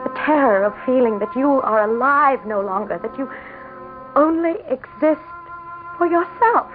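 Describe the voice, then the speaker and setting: An actress playing a vain, desperate, scheming politician's wife in a melodramatic radio drama, with theatrical, anguished and imperious delivery. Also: female voice, sits high, lively intonation, measured pace, faint music behind. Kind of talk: melodramatic radio drama acting and first-person narration. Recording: muffled lo-fi vintage radio broadcast.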